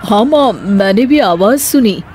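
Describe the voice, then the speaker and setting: A woman voicing a calm young husband in a cartoon story. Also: mid-range voice, unhurried, slight background noise, lightly acted. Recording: faint music behind.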